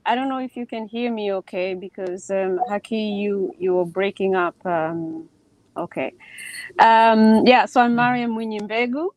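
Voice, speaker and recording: A woman's voice: mid-range voice, steady tone, a little slow, a little mellow, poor audio. A woman in her forties from Tanzania, a native Swahili speaker, telling her own story casually on a video call. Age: 40s. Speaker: guest speaker, teacher.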